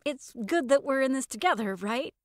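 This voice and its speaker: A woman's voice: sits high, expressive, moderate pace, no echo, pitched above the performer's own voice. A woman puts on a chummy dieting acquaintance for an audio short story. She is familiar, breezy and gushing, in a dry, close recording.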